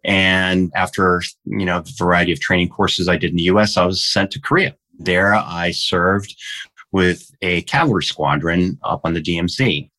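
A native Danish speaker in his fifties, raised in Denmark, answering interview questions at an unhurried, reflective pace. His voice sits very low and settles into a deep, rumbling register. He tells his story steadily, thinking as he goes. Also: fairly even intonation, bright, forceful tone. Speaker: guest. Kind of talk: conversational interview.

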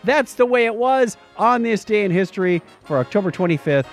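A man's voice: sits high, animated, brisk, faint music behind. A man co-hosting a podcast, bantering casually and reading out items with wry, joking asides. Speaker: podcast co-host.

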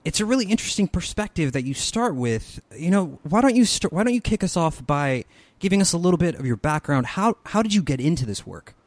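A male podcast host introduces his guest and asks questions with eager energy, his voice climbing as he gets excited. His pitch sits a touch high. The recording is dry, with no room sound. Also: fairly quick, no echo. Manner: enthusiastic, rising into excited questions. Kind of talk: podcast interview.

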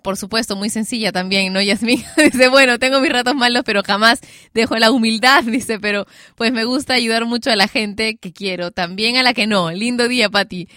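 A woman's voice: a little high, normal speed, sharp tone, no room sound, studio quality. A woman hosting radio, chatting casually and giggling as she reads out listener messages. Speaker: radio host. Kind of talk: casual live chat reading listener messages.

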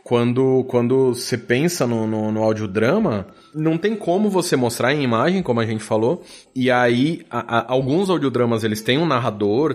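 A man with a Brazilian accent talks as a guest on a podcast. His voice sits at a medium pitch. He explains things in a loose, colloquial, conversational way, like an audio drama creator discussing his craft. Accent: Brazilian Portuguese.

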